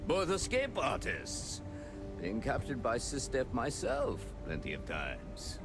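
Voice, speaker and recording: A polite, menacing pirate captain. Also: male voice, mid-range voice, huge pitch swings, takes it slowly, music in the background, exaggerated voice. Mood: courteous. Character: smooth courteous male pirate captain holding a hostage. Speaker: pirate captain in a video game. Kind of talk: video game voice acting.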